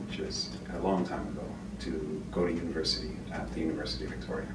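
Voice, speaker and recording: A man's voice: very deep voice, flat delivery, quick pace. A man in his fifties, answering interview questions in a thoughtful, hesitant way. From Canada. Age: 50s. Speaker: guest, co-founder. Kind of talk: casual interview. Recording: roomy, distant mic, background noise.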